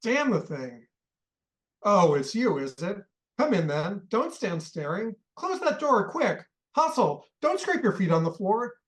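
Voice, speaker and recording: Actor playing a bossy, irritable old American millionaire. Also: male voice, sits high, very animated, fairly bright tone, full character voice. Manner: barking, scolding, rapid-fire orders. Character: irascible older American millionaire, bossy and impatient. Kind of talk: radio play acting.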